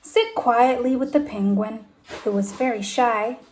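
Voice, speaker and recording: A woman teacher reading a children's storybook aloud in an expressive, deliberate way with emphasized phrases. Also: a little high, a little hiss or hum.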